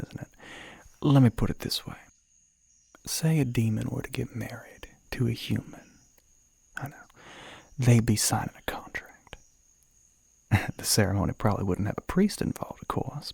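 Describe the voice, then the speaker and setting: Man playing a breathy, gravelly Southern devil. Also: mid-range voice, moderate pace, pauses often, darkish tone, dry recording. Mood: explaining, earnest. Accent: Southern American. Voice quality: breathy, gravelly, often dropping to a whisper. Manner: drawling, teasing, coaxing with pet names. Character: suave, flirty Southern devil, a teasing deal-making male demon. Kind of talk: flirty ASMR roleplay monologue.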